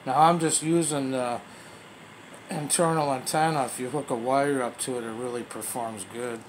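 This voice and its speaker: A man's voice: mid-range voice, fairly even intonation, moderate pace, background noise. A man giving a casual, hands-on product demonstration in a plainspoken, folksy way, as a reviewer talking while he works the controls. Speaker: radio reviewer, presenter.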